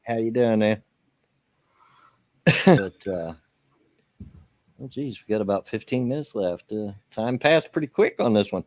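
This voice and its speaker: American male co-host, low-pitched, plain-spoken and folksy, chatting casually on a close, dry home mic. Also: expressive, measured pace, pauses often, no room sound. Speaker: co-host of a gaming radio stream. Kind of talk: casual banter. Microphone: close microphone at a home setup.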